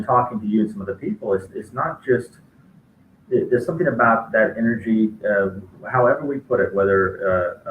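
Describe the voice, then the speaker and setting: A man's voice: fairly low pitch, narrow, tinny audio. Male host, rambling and playful, echoey room with light noise. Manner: rambling, searching for words, playful. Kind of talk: casual conversation.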